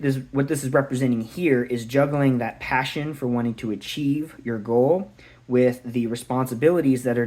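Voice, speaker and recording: A man's voice: medium pitch, a little mellow, husky. A man giving a casual, reflective tarot reading, thinking aloud with a slight sore throat. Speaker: tarot reader.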